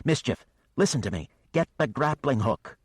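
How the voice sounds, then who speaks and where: A man's voice: medium pitch, big pitch swings, moderate pace, slightly rough, dry recording, studio quality, voice pushed up. A man voicing an impatient, scolding shipmate in a story.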